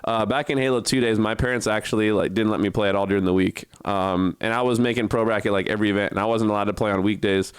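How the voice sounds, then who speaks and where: A man's voice: on the low side, steady tone, fast, few pauses, clean tone, no echo, clean studio sound. A young man co-hosting, telling stories in a casual, conversational way and repeating his points for emphasis. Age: young adult. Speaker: podcast co-host.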